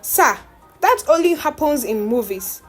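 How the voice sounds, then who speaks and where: Female storyteller as male doctor, slow, matter-of-fact, composed and pitying, folktale drama. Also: high voice, lively intonation, faint music behind. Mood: grave.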